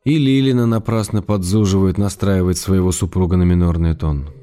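An actor plays a writer in a radio play, reading fond letters to his wife. His voice is very low and soft-edged, restrained and intimate with a wry touch. Music runs underneath. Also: steady tone, a little fast, natural voice. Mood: wry, reassuring. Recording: music behind the voice.

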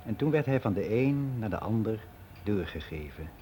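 Male actor as candid ageing man, very mellow voice, matter-of-fact musing, radio play monologue, noisy old recording. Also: lowish voice, expressive, moderate pace, lightly acted. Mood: frank, wry. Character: candid, reflective ageing man, worldly and unbelieving. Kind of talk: radio drama monologue, conversational confiding.

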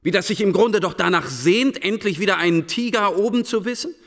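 An actor in a German radio play voicing a disillusioned, brooding schoolteacher back from war, speaking in impassioned, halting phrases. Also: male voice, high voice, expressive, in a large hall. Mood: agitated, disgusted. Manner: impassioned, halting rhetorical questions and short phrases.

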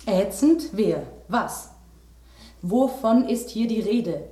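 An actress in a German radio drama playing a vain, genteel rose, with an affected and dramatic way of speaking. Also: female voice, mid-range voice, expressive, takes it slowly, dark tone, roomy sound. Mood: intrigued. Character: talking rose, female, vain, genteel, easily scandalized.

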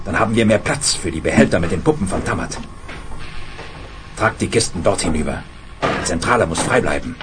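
Lowish, grave, commanding space leader. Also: male voice, animated, fairly quick, long pauses, background noise. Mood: cold, commanding. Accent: standard German. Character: commanding space administrator hero, grave and authoritative. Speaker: lead character and first-person narrator. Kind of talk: dramatic 1970s German radio play.

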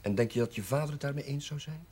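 An actor playing a kindly, persuasive village chaplain in a 1970s television drama, speaking in a measured, earnest way. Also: male voice, medium pitch, dark tone, breathy edge, natural voice. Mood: probing, earnest. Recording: noisy 1970s television soundtrack.

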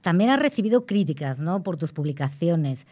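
A woman's voice: on the low side, animated, moderate pace, warm tone. A woman interviewing a guest on the radio, asking probing questions with hesitant restarts.

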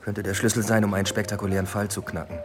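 An actor playing an ambitious police inspector in a radio drama, terse, matter-of-fact and probing. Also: male voice, lowish voice, little pitch movement, fast talker, big-room sound, natural voice. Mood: pressing, persuasive. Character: driven, ambitious male police detective inspector. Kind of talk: naturalistic German radio drama dialogue. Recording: faint music bed behind the voice.

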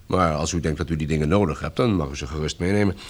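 An actor playing a brisk, bureaucratic colonel in a radio drama, with a clipped, orderly way of questioning. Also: male voice, extremely low pitch, breathy edge. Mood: businesslike, practical. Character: brisk, bureaucratic male colonel running a survivors' camp. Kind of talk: Dutch radio drama acting.

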